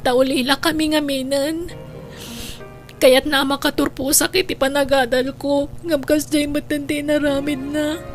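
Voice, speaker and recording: Woman doing devoted little sister student, really high voice, earnest n tearful, ilocano radio drama, faint music under. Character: young female student, pleading, devoted orphaned younger sister.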